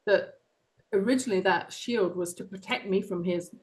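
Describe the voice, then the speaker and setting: A woman's voice: medium pitch, normal speed. Earnest woman with a London accent. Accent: London English. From England. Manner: earnest, passionate, with soft laughs and frequent ums. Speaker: author and guest. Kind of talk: reflective personal interview.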